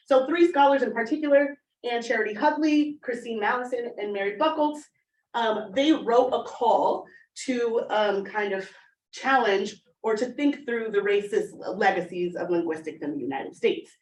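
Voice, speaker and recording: A woman's voice: fairly high pitch, moderate pace. A woman giving an academic conference talk in a conversational way, often checking in with the audience by asking "right?". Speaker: lecturer. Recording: echoey room, voice far from the mic.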